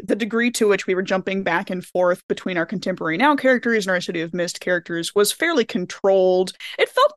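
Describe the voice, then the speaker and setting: Animated, playful woman on a podcast. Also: medium pitch, no echo, studio quality. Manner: playful, joking. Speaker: podcast co-host. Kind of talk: casual chat.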